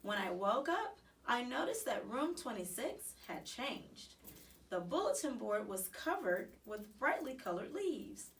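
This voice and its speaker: A woman reading a children's story aloud in an expressive, animated way with dramatic emphasis. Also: a little high, unhurried, distant mic, a little hiss or hum. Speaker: storybook reader.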